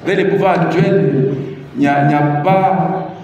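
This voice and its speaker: A man's voice: on the high side, normal speed, a little mellow, highs cut off, soft background music. A middle-aged Congolese man with a Congolese French accent gives a public talk. He repeats his key phrases and asks the audience rhetorical questions. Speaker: presidential adviser giving a public talk. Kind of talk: public speech on the constitution. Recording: echoey hall, mic far off.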